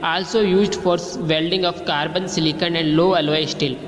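A man with an Indian accent teaching a lecture, reading lists off slides slowly, with restarts. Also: on the high side, steady tone, reverberant, big-room sound, slight background noise, poor audio.

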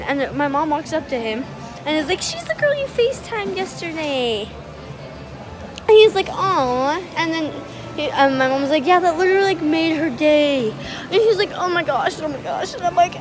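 A teenage girl vlogging on a phone held at arm's length in a busy restaurant, gushing and giggly as she talks fast and excitedly. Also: high voice. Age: teens. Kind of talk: excited casual vlog chat. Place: indoor restaurant at a competition venue.

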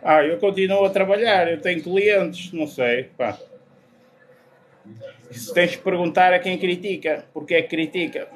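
A man's voice: high-pitched, slight background noise. Upbeat Portuguese man in a gym. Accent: European Portuguese. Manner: brisk, motivational, answers questions aloud. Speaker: gym vlogger. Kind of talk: casual self-talk vlog. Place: indoor gym. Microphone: phone held close, low angle.

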